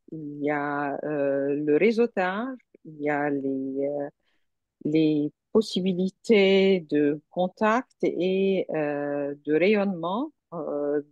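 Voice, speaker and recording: A woman's voice: low-pitched, a little mellow, no echo. A woman from a university encouraging applicants in an online meeting, earnest, with many hesitations. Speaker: university staff member. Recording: dull dry online call audio.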